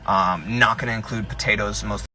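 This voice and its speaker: A man's voice: on the low side, fairly even intonation, moderate pace, sharp tone, a little hiss or hum. Chatty young man live-streaming from his car. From Tennessee. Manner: chatty, rambling with frequent ums and restarts. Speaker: fitness influencer, personal trainer. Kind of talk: casual live-stream chat. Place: parked car.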